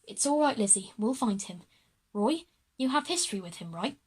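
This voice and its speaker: A woman's voice: on the high side, lively intonation, moderate pace, darkish tone, husky. A woman voicing a caring, worried mother who takes charge in a children's audio drama, reassuring and motherly.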